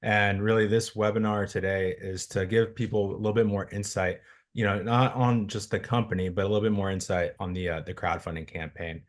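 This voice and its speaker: Deep-voiced man hosting a webinar. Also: little pitch movement, brisk. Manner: casual, filler-heavy, friendly moderator. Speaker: webinar host, content marketing director.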